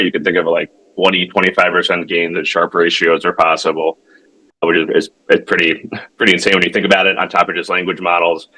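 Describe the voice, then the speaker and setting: A man giving an informal product demo as a tech founder, talking in a halting, conversational way with self-corrections and frequent check-ins. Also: low voice, pushed and bright, rough recording. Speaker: tech company founder demonstrating his product.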